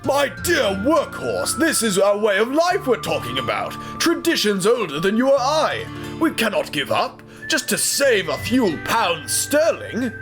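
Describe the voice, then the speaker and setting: A man voicing an old railway truck in an audio drama, squeaky and creaky, indignant and pleading in formal old-fashioned phrasing, over music. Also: sing-song, measured pace.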